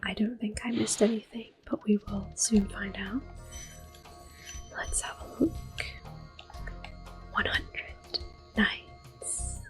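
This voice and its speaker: Slow, soft-spoken, whispering ASMR woman. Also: medium pitch, steady tone. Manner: gentle, hushed, unhurried. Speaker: host playing a video game. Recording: soft game music behind. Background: quiet game music.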